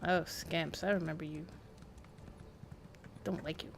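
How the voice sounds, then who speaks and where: A woman's voice: low-pitched, fairly even intonation, unhurried, creaky, soft background music. A woman chatting casually and thinking aloud as she livestreams a video game. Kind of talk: casual game stream commentary.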